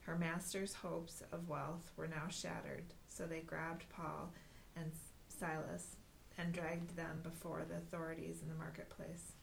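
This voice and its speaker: Low, gravelly woman reading scripture. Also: little pitch movement, a little slow, poor audio. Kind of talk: reading aloud from the Bible.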